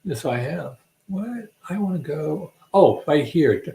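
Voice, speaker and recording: Man, gravelly, casual livestream rambling, humming, echoey room. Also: on the high side, lively intonation, slow pace, mellow. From Alabama. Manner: rambling, joking, humming to himself while searching. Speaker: livestream host.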